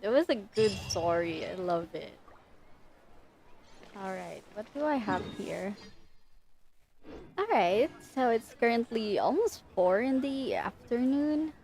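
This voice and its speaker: A woman's voice: medium pitch, expressive, slow pace, pauses often, darkish tone, a little hiss or hum. A woman streamer talking casually as she plays a game on a livestream.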